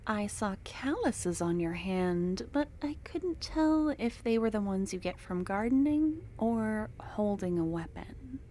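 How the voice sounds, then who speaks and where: A young woman voicing an obsessive, possessive female cultist in an ASMR roleplay. She talks in a soft, close murmur that drops into whispers, and her tone is doting and unsettling. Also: medium pitch, animated, unhurried, hardly any pauses, slight background noise, lightly acted. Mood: curious, doting.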